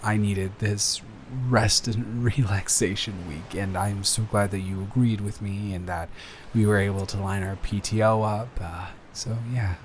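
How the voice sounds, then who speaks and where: A man acting as a devoted young boyfriend with a lowish voice, nervous and sweet on his partner. He rambles and stumbles bashfully through an intimate, improvised roleplay, with noticeable ambient noise behind him. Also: fairly even intonation, unhurried, lightly acted. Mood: happy, relaxed. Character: nervous, adoring young boyfriend about to propose.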